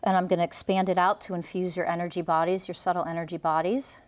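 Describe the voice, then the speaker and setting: A woman's voice: on the low side, level tone, fast. A woman energy healer guiding a client through a healing session, commanding and intense, with blunt swearing.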